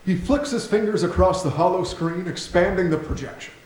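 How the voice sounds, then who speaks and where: Male narrator for a stage reading: lightly high voice, scripted delivery, echoey room. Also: a little fast, a little mellow. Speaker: stage directions reader. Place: theatre stage. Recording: roomy theatre recording with faint noise.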